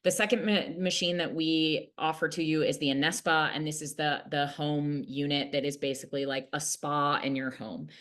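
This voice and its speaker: A woman in her late thirties with a notably low voice talks through a product pitch. She sounds upbeat and earnest, and her delivery is loose and conversational, with casual fillers scattered through her sentences. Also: steady tone, moderate pace, few pauses, fairly bright tone. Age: late 30s. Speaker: product distributor and mentor.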